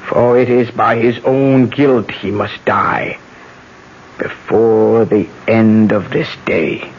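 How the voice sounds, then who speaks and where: A performer playing a stern, vengeful elderly aunt in a 1970s radio drama, making solemn, ominous pronouncements in a low voice. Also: steady tone, slow. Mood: grim certainty. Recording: dull, noisy 1970s radio broadcast.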